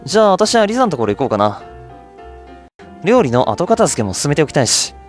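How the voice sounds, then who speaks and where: Man doing a gentle, caring young woman. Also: a little high, sing-song, brisk, faint music behind. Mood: kindly.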